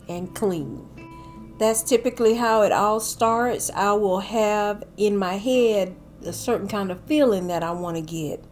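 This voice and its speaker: A woman's voice: medium pitch, darkish tone. An older woman, slightly nasal from a cold, chatting casually in a home decor voice-over. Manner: chatty, addresses viewers as y'all.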